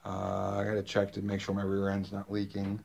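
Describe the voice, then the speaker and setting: A man's voice: lowish voice, monotone, fairly quick, vocal fry, a little mellow, muffled recording. A man filming a casual show-and-tell vlog in his home basement workshop on a handheld phone, rambling with lots of fillers and restarts. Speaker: vlogger. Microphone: handheld phone camera.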